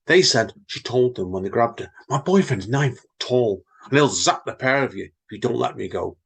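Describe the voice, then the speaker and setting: A man reads stories and limericks in a husky voice. His pitch swings widely as he chats and teases his co-host between readings. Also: moderate pace. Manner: lively, chatty, wry teasing. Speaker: podcast co-host and reader. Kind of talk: reading poems and casual chat.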